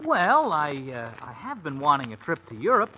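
An actor playing a president's cheeky, lazy grown son in an old-time radio drama, breezy and flippant. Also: male voice, a little high, wildly swooping pitch, moderate pace, sharp tone, full character voice. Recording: dull, hissy old-time radio recording.

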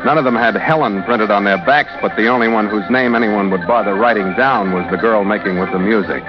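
A man's voice: fairly low pitch, fairly quick, natural voice. A man with an American accent playing a tough, suspicious ship's skipper, narrating a mystery in a terse, wisecracking radio-drama style. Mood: hard-boiled narrating. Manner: terse, wisecracking, hard-bitten. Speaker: lead actor and narrator. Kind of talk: hardboiled 1940s-50s American radio acting and narration. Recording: muffled old radio recording with music behind.

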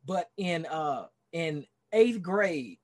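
High-voiced Texan man telling his story. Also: lively intonation, very slow. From Dallas, Texas. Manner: earnest, rambling, many "you know"s and restarts. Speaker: pastor and podcast guest. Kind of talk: casual interview storytelling. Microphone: video call.

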